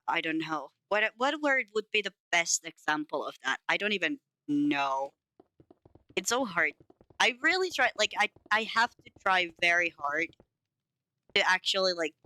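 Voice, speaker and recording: A woman's voice: on the low side, pushed and bright, no echo, low-quality recording. A young woman from Finland who speaks English with a light Finnish accent, chatting casually and rambling with her stream chat, with giggles and self-teasing. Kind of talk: casual chat to live chat while building in a game.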